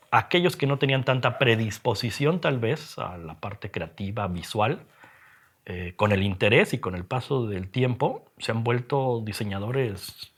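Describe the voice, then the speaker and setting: A middle-aged man with a Mexican accent, talking reflectively in an interview, haltingly and with frequent tag questions. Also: mid-range voice. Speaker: interviewed graphic designer and teacher. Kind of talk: reflective personal interview talk.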